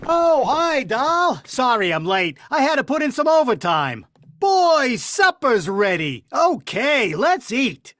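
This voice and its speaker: A woman's voice: high-pitched, big pitch swings, slow, fairly bright tone, no echo, exaggerated voice. A woman playing a bumbling, well-meaning middle-aged father in a 1950s-style radio sitcom, talking in a folksy, tongue-tied way. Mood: cheerful. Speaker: radio play actor.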